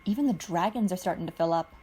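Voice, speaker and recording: A woman's voice: fairly low pitch, fast, dark tone, husky. A woman reading aloud, voicing a playful, well-fed young man who chats in a joking way.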